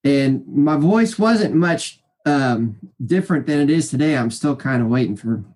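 A man in his forties with a high, light speaking voice tells long stories in a chatty, meandering way. He leans on fillers and restarts his sentences as he goes. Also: animated, a little slow, darkish tone. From Kansas City area, US. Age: 40s. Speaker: guest. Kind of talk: casual interview storytelling.

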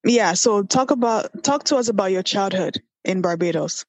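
A woman's voice: mid-range voice, expressive, moderate pace, no room sound. A woman hosting a podcast, introducing and questioning her guest in a friendly way. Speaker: podcast host. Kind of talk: interview.

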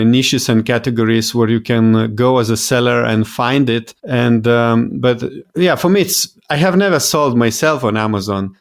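A man from Lithuania with a Lithuanian accent, talking casually as a podcast guest in a somewhat halting, hesitant way. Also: mid-range voice, creaky. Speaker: interview guest, virtual event organizer.

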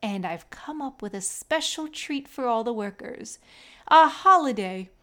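An earnest American machinist lectures like a tour guide, read by a woman. His voice sits a bit high. He explains patiently, as if showing visitors around. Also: expressive, measured pace, bright, forceful tone. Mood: cheerful.

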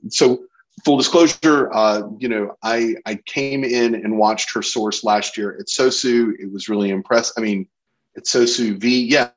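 A man's voice: on the low side, low-quality recording. A man hosting a podcast interview in a casual, discursive and enthusiastic way.

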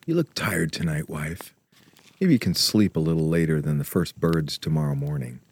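An actor playing a tender, devoted husband and scholar in a radio drama, speaking gently and earnestly. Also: male voice, very deep voice, lively intonation, moderate pace, slightly rough, heavily acted. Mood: tender, teasing.

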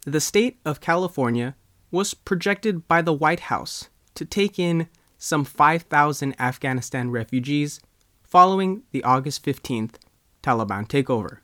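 A man reading a scripted news story as a reporter, in measured, short phrases. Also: on the high side, animated, a bit bright, no room sound, studio quality. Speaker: news reporter and writer reading own article.

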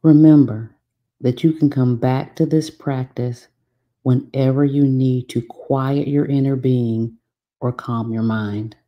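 Very low, slow woman guiding meditation. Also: steady tone, dull audio. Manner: soothing, instructional, unhurried with long pauses. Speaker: meditation guide. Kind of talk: guided meditation, scripted narration.

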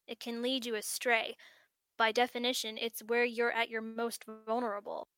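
A woman reading the lines of a gentle middle-aged father in a bedtime story, in a soft, tender tone. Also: a little high, level tone, normal speed, no room sound, natural voice.

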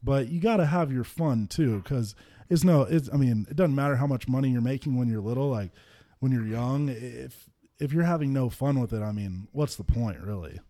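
Relaxed man with a dark voice. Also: medium pitch, moderate pace. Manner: laid-back, storytelling, laughs easily. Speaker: podcast co-host, football player. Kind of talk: casual chat.

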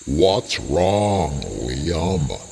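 A man voicing a gentle, kindly giant talking tree in a very low, slow, drawn-out voice for a children's story. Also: big pitch swings, vocal fry, a little hiss or hum, heavily acted. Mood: gentle concern.

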